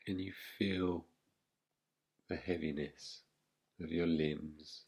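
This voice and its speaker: A man guiding a relaxation meditation as a teacher, speaking in a soothing, unhurried manner with long pauses. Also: extremely low pitch, fairly even intonation, vocal fry, darkish tone, slightly rough.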